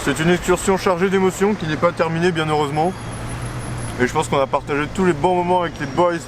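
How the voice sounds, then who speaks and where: Young man, pompous mock answers, a bit high, slightly off mic, clear street noise. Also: steady tone, fast. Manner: pompous, overblown phrasing. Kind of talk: mock-grandiose interview answer.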